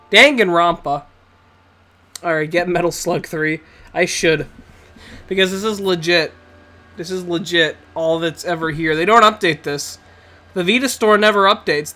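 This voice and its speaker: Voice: medium pitch, pushed and bright. A streamer with a nasal, cold-stuffed voice, rambling casually to the chat while playing, with frequent laughs. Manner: rambling, chatty, laughs often, talks to the chat. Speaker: Twitch streamer playing a video game.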